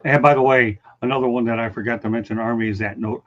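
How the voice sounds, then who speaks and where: A male host of a sports talk show, his voice going a touch raspy. He leads the crew through casual wrap-ups and hands off to his co-hosts. The recording is muffled and lo-fi. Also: mid-range voice, steady tone, fast, fairly bright tone, a little hiss or hum. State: voice getting raspy. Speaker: podcast host.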